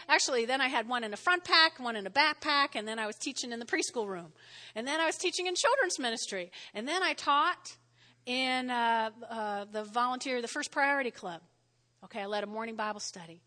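A woman pastor with a New Jersey accent, preaching a sermon in a lively, conversational way and often checking in with "all right?". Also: a little high. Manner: lively, spells words out, frequent "all right?" check-ins. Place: church sanctuary. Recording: long hall reverb.

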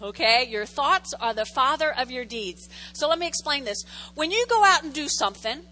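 A woman's voice: high voice, expressive, moderate pace, sharp tone, rough recording. A woman pastor preaching a sermon in a conversational way, repeating key phrases for emphasis and making jokey asides to the congregation.

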